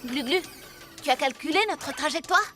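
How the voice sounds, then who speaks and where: A woman's voice: high-pitched, normal speed, close to an everyday voice. A woman voicing a confident, earnest little boy superhero in a cartoon dub. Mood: decisive, confident. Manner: decisive, heroic. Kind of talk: French cartoon dubbing. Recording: loud music under the voice.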